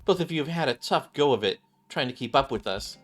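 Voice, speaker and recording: A man voicing a calm, commanding female squad leader from a video game, stern yet reassuring, in measured formal speech. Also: mid-range voice, faint music behind, close to an everyday voice.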